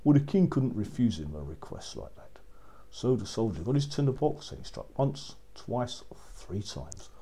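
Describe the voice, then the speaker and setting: Man, children's storyteller, mellow relaxed voice, slightly husky, very animated intonation. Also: medium pitch, moderate pace. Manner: expressive, dramatic delivery.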